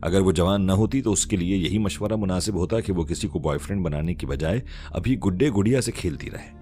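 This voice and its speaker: A man narrating a scripted drama story in a measured, storytelling manner. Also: sits low, dark tone. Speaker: audiobook narrator. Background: background music.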